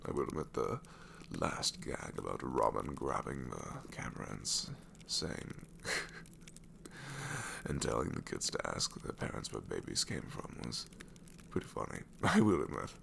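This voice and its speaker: Guy doing a snarky shadow demon dad, super deep voice, swoops all over the place, asmr roleplay. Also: wildly swooping pitch, measured pace, creaky, slight background noise, heavily acted. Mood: sassy. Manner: snarky, rambling, soft-spoken with whispered asides. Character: sassy male shadow demon, a father from hell.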